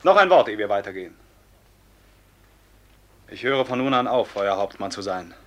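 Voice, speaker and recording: Low-voiced, tormented robber captain. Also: male voice, big pitch swings, moderate pace. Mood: bitter, solemn. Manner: solemn, theatrical, swings from hushed to outcry. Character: tormented robber captain, brooding, passionate, remorseful son. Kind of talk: classical German radio play acting, declamatory stage diction.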